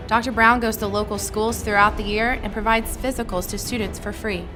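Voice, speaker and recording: Woman, mid-range voice, reading out a tribute script, music clearly behind her. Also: mid-range voice, steady tone, a little fast, low-quality recording. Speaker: company employee reading submission stories. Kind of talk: scripted tribute narration, read aloud. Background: prominent background music.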